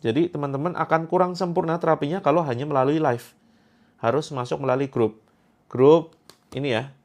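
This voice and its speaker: A man's voice: a little high, fairly even intonation, a little fast, darkish tone. A man in his thirties from Indonesia, hosting a livestream where he reads out viewer comments in a chatty, joking way and repeats his points for emphasis. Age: 30s. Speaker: Quran therapy host.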